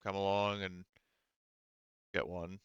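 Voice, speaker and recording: A man narrating a tabletop game as game master in a casual, halting, rambling, conversational way. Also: sits low, flat delivery, fairly bright tone, smooth, dry recording, dull audio.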